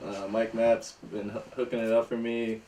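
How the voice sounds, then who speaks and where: A man's voice: lowish voice, little pitch movement, moderate pace, mellow. A man in his twenties, born in Nigeria and raised in Northern Virginia, chatting loosely as a podcast guest and laughing often. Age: 20s. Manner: laid-back, laughs often, loose filler words. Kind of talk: casual chat. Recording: echoey room, mic at a distance, faint noise.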